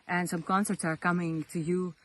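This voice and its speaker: A woman's voice: on the low side, flat delivery, normal speed, fairly bright tone. A woman musician answering interview questions outdoors, thoughtful and hesitant. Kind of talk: recorded video interview.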